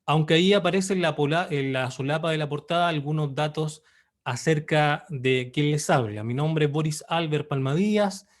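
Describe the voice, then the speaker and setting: A man in his thirties with a Chilean accent, presenting his own book online in a formal, measured way with frequent pauses. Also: fairly high pitch. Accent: Chilean Spanish. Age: 30s.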